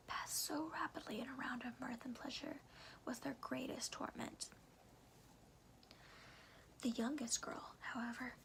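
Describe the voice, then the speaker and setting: A woman softly and carefully whispering in a hushed, breathy ASMR style, as a storyteller reading to the listener. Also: fairly high pitch, a little hiss or hum, poor audio. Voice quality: breathy whisper with some creak. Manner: soft, careful, hushed delivery.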